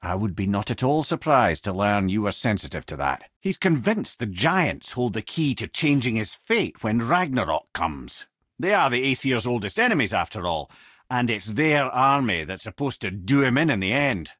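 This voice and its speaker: Animated Scottish sage Mimir, wry storyteller. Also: male voice, medium pitch, normal speed, no echo, clean studio sound. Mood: wry, knowing. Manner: wry, eloquent, theatrical storyteller. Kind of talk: video game character dialogue and storytelling.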